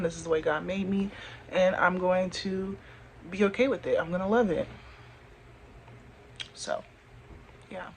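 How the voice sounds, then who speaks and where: A young woman with a deeper voice for a woman, chatting candidly into her phone camera with lots of fillers. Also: slight background noise, rough recording. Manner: chatty, candid, many fillers like "like" and "you know". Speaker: vlogger. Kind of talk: casual talk to camera.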